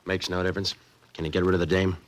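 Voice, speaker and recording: A menacing tough-guy crook played by a man in an old radio mystery. The voice is low, and the talk is blunt, clipped and slangy. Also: flat delivery, racing, no room sound, close to an everyday voice. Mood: gruffly threatening. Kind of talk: old-time radio drama acting.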